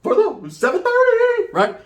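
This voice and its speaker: A man's voice: very high-pitched, huge pitch swings, measured pace. A middle-aged man tells a comedic story informally, profane and boastful, wandering off and checking in with tag questions.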